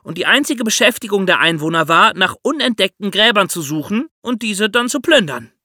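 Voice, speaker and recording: A woman's voice: medium pitch, expressive, brisk, bright, forceful tone, no echo, studio quality. A young woman co-hosting a podcast in a conversational manner. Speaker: podcast co-host.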